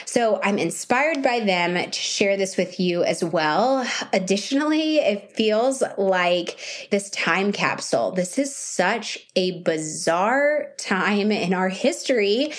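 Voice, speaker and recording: A woman's voice: medium pitch, lively intonation, takes it slowly. A woman in her thirties, home sick with a sore, scratchy throat, chatting to her viewers in a casual video diary. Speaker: vlogger.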